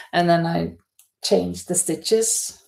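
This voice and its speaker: A woman's voice: lowish voice, steady tone, extremely slow, gravelly. A woman from Norway with a Norwegian accent, hosting a casual livestream and chatting in a rambling, hesitant way as she reads out viewer comments. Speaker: livestream host. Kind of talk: casual chat while knitting, reading out viewer comments. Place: indoors at home in a holiday stay.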